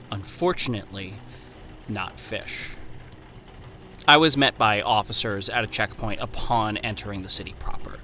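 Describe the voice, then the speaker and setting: Casting: male actor as wary young 1930s anthropologist, formal and precise, sharp forward tone, horror podcast letters, noisy backdrop. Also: fairly low pitch. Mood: uneasy, measured. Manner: formal, precise, measured diction. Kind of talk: scripted horror audio drama, letter narration.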